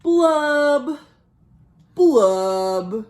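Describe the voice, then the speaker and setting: Woman playing a gloomy pouting male fish, slow-talking. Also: sits high, animated, darkish tone, heavily acted. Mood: mopey. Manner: mournful, rhyming, drawn-out delivery.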